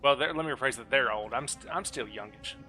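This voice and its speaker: A man's voice: mid-range voice, fast talker, pushed and bright. A man chatting casually over gameplay as a streamer, with a joking, self-deprecating manner. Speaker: gaming streamer. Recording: game music behind the voice. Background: video game music and sounds.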